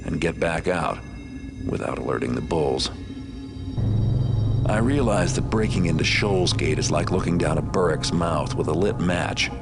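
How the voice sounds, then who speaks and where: A rock-bottom, gravelly voice for a cynical master thief in a stealth game. He is dry and understated, a weary loner who narrates with sardonic wit. Music runs behind him. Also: moderate pace. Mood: wry, calculating. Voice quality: very low, gravelly.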